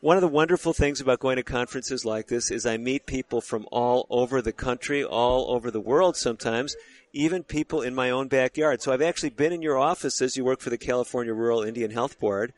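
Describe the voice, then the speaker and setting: A male radio host, a physician, talks with a guest at a conference booth. His voice sits at an ordinary middle pitch. He chats warmly and often restarts his phrases. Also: fairly quick, no room sound. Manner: chatty, with small restarts. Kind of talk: conversational interview. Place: booth in a conference exhibit hall.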